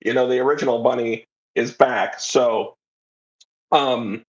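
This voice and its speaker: A man's voice: medium pitch, fairly even intonation, measured pace. A man speaking as an interview guest, casually and reflectively explaining his own creative work, on slightly dull audio.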